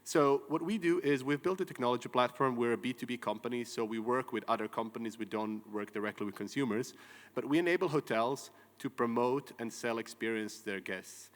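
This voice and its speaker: A man's voice: mid-range voice, fairly quick. Croatian man speaking in a reverberant hall. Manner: earnest, frequent "uh" and restarts. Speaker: company founder and CEO. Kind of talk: conference keynote talk. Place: large conference hall.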